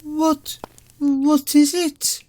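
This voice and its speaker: A man's voice: lively intonation, slow, mellow, no echo, soft background music. A man voicing a scared young woman camper. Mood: frightened. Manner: shaky, pleading, high-pitched.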